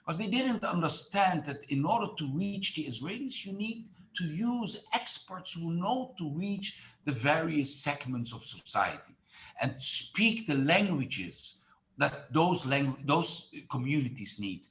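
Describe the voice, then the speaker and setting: A man's voice: fairly high pitch, big pitch swings, unhurried, a bit bright, slight background noise. A middle-aged man from the Netherlands, a tourism marketing expert who speaks with many fillers and restarts in an online presentation.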